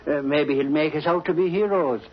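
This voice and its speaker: An actor playing an excitable, gullible, boastful Irish bodyguard in 1940s radio drama, blustering and melodramatic. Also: male voice, fairly high pitch, a little fast, breathy edge. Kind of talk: 1940s radio comic-relief acting. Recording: dull 1940s radio, crowd noise.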